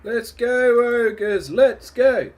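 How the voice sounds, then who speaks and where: A man's voice: extremely high pitch, drawn-out words. A man, congested from a heavy cold, casually commentating his own gameplay while laughing and muttering to himself. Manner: chatty, laughs often, mutters to himself between battle calls. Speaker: gaming streamer.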